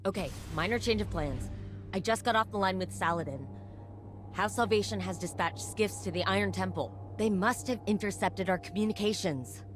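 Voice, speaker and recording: A woman's voice: mid-range voice, normal speed, slight background noise, lightly acted. A woman playing an earnest, driven scientist ally in a video game, explaining things in a conversational, urgent way.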